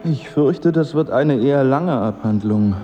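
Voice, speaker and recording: An actor playing a courteous, inquisitive wanderer with a formal manner in a literary radio drama. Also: male voice, medium pitch, expressive, a little slow, lo-fi sound, music in the background, natural voice. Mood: curious, courteous. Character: courteous, curious, pondering male wanderer.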